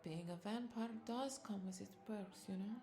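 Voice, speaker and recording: Flirty, creaky-voiced vampire bartender played by a woman. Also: medium pitch, moderate pace, background noise, lightly acted. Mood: flirty, teasing. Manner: flirtatious, teasing, breathy asides dropping into whispers. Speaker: audio roleplay voice performer. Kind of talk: intimate scripted audio roleplay.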